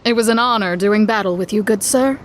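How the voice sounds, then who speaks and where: A woman's voice: mid-range voice, slight background noise, natural voice. Composed, courteous young duelist, acted by a woman. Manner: polite, measured, earnest. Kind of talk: anime game voice acting.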